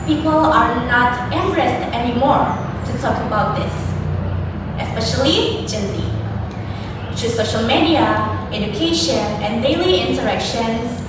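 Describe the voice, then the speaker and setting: A young woman hosts a student talk show and reads her lines from a script. Her high voice comes from far off in a very echoey hall. Loud noise runs underneath. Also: expressive, measured pace. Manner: reads a prepared script with a singsong jingle.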